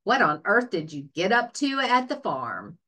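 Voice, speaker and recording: A woman's voice: mid-range voice, sing-song, a little slow, bright, forceful tone, full character voice. A woman voicing an eager, affectionate little boy in a storybook, exclaiming and calling out. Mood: fond.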